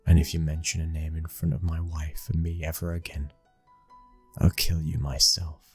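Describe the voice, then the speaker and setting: A British man acting a cold, dominant young mafia heir and protective new husband in an ASMR roleplay, speaking in a low, husky hush, slow and commanding. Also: little pitch movement, faint music behind, full character voice. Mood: menacing.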